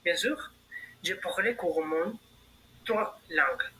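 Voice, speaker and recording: A man's voice: sits high, slow, pushed and bright, rough recording. A young man, answering as a candidate in a practice admission interview, reciting prepared answers with hesitant fillers. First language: Spanish. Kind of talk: role-played job interview.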